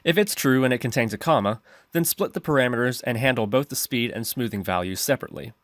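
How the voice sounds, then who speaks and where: A man's voice: medium pitch, fast talker, a bit bright, no room sound, studio quality. A man narrating a step-by-step screencast tutorial, explaining his reasoning as he goes. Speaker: programming tutorial host.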